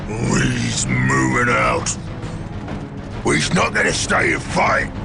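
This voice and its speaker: An actor playing a huge, brutish orc boss in a video game, bellowing crude broken grammar in a guttural growl. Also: male voice, mid-range voice, huge pitch swings, takes it slowly, gravelly, loud music behind the voice, full character voice. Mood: bullying, boastful. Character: huge brutish orc warboss, bullying leader. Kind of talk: video game cutscene acting.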